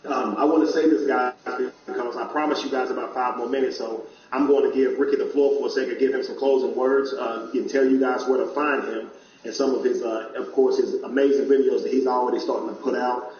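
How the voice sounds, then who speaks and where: A man's voice: medium pitch, flat delivery, a little fast. A man hosting an online talk show, speaking earnestly and conversationally to his viewers. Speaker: show host. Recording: distant echoey lo-fi mic.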